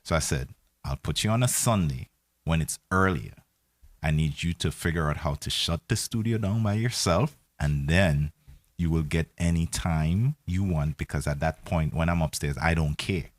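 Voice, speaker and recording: Very deep-voiced man, dry and close. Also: moderate pace, no room sound. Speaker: studio owner and show host. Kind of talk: casual studio banter.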